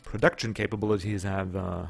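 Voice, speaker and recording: A man's voice: low voice, flat delivery, a little fast, creaky, soft background music. A young man, not a native English speaker, casually commenting on his strategy game as he plays, thinking aloud and joking with his units. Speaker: let's play host.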